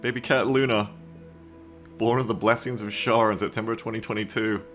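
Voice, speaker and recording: Bright-voiced, joking male streamer. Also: fairly low pitch, fairly even intonation, a little fast, faint music behind. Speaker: game streamer. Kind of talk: casual co-op stream chat.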